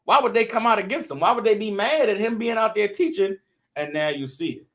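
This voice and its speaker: A man's voice: sits high, fairly quick, fairly bright tone. Fervent man with a raised voice, riffing. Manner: loose and conversational, fillers like "you know what I'm saying", building in intensity. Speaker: member of the group adding commentary. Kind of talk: impassioned religious commentary.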